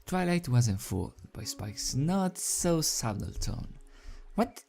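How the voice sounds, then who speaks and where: Slow, halting host over music. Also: medium pitch, animated, a little mellow. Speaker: video host and fan fiction reader. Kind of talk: casual rambling chat, then storybook narration. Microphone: desk microphone without pop filter. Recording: background music under the voice.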